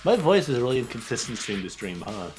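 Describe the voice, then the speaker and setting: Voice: medium pitch, sing-song, fast. A fan-dub performer chatting casually while helping out with a video game. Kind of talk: casual gameplay banter. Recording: game music behind the voice.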